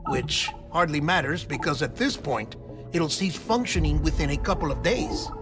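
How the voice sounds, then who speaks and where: A middle-aged scientist from a video game cutscene, explains things in a precise, clinical and secretive way. His voice sits a little high and moves with very lively intonation. Music plays clearly behind him. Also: moderate pace. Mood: clinical, explaining. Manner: precise, theatrical, emphatic delivery. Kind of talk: video game cutscene acting, dramatic exposition.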